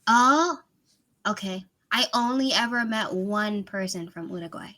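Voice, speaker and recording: A woman's voice: medium pitch, a little slow, bright, forceful tone. A woman tutoring over a video call in a casual, chatty way, laughing often and filling with "like" and "you know". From Miami, USA. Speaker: English tutor.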